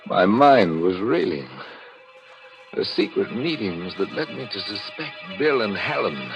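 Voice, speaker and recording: A tormented, guilt-ridden man in an old-time radio thriller. His voice is low and brooding, breaking into melodramatic desperation, with music underneath the vintage recording. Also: big pitch swings, unhurried. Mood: anguished, desperate. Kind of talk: 1940s radio thriller acting, first-person narration.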